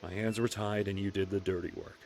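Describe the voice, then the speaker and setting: An actor voicing a smug, manipulative government official in a visual novel, cool, dismissive and sardonic. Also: male voice, sits low, steady tone, a little fast, vocal fry, a little mellow, poor audio. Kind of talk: English visual novel voice acting. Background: music and instruments.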